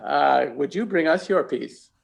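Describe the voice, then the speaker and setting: A man's voice: fairly high pitch, unhurried, vocal fry, dull audio. A man informally hosting a church service as its leader, speaking off the cuff.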